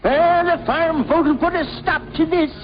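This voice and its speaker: An actor voicing an indignant, blustering citizen who protests in an old cartoon. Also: male voice, very high voice, steady tone, normal speed. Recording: dull old film soundtrack, loud music.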